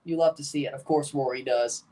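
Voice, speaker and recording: A man streaming a live match watchalong, chatting casually and calling goals with excitement while guarding a strained voice. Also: mid-range voice, brisk, recorded from a distance.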